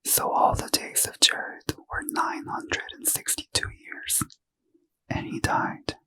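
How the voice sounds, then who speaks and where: Male ASMR reader, bedtime scripture in a slow, airy whisper with a sharp, bright edge. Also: low-quality recording. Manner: slow, soft unvoiced whisper.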